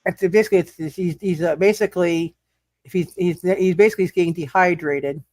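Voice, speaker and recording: A man's voice: sits high, a little fast, a little mellow, dry recording. A man narrating a live tabletop superhero game as game master, stammering and often repeating his words.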